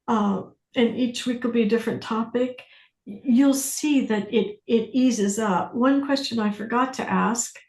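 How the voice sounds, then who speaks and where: A woman facilitating a self-inquiry class, speaking deliberately with pauses, questions and phrases repeated for emphasis. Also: on the high side, a little mellow. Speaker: workshop facilitator leading a group class. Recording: roomy echo on the voice.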